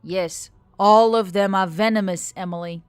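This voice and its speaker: A woman's voice: medium pitch, fairly even intonation, normal speed, clean tone, no room sound, lightly acted. A woman voicing a grumpy, jealous horse-tailed female character who talks in a blunt, condescending way in an audiobook. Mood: scornful.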